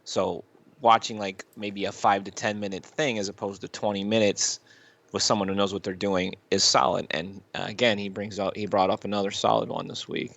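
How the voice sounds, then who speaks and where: A man co-hosting a casual podcast, giving opinions in a rambling, halting way with repeated phrases and loose swearing. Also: fairly low pitch, level tone, creaky, a bit bright, dull audio. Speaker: podcast co-host. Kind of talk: casual chat, reviewing YouTube videos.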